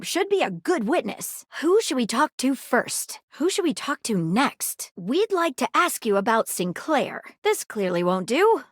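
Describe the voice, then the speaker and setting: A woman's voice: high-pitched, dry recording, studio quality, heavily acted. A woman playing an earnest, inquisitive detective in a video game, with theatrical, articulate and courteous delivery. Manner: theatrical, articulate, formal phrasing. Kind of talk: acted video game dialogue.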